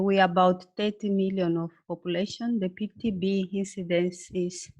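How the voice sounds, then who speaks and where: A woman's voice: mid-range voice, darkish tone. Woman webinar presenter, hesitant English, dull call audio. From Mozambique. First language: Portuguese. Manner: halting, searching for words with many fillers.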